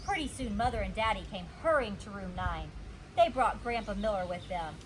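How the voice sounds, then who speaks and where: A woman's voice: mid-range voice, normal speed, noisy background. A woman reading a children's story aloud as a teacher would, expressively and acting out the dialogue. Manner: animated, acts out the dialogue.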